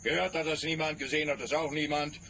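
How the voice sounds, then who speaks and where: An actor playing a gruff, suspicious police officer with a dry, needling official manner in a radio play. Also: male voice, a little high, little pitch movement, fast, bright, forceful tone, slight background noise. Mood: grim.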